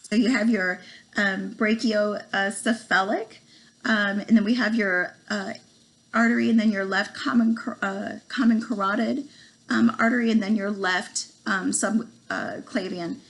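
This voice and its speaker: A woman in her forties with a cold, losing her voice, giving a halting home video lesson full of ums and stumbling over medical terms. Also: mid-range voice, fairly even intonation, gravelly, poor audio. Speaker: student presenter. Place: small room at home, indoors. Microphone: laptop webcam.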